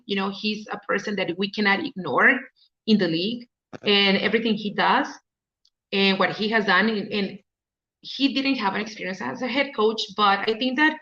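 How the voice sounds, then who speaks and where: A woman's voice: medium pitch, fairly bright tone, dull audio. Chatty Mexican-accented woman sports host. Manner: rambling, thinks aloud with many fillers. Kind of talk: casual sports talk.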